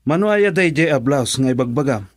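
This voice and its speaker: A man's voice: mid-range voice, lively intonation, no room sound, natural voice. A man playing a generous middle-aged family friend in a radio drama, easygoing and coaxing, with an Ilocano accent. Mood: indulgent. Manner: easygoing, unhurried. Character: generous, smooth older family friend courting young woman.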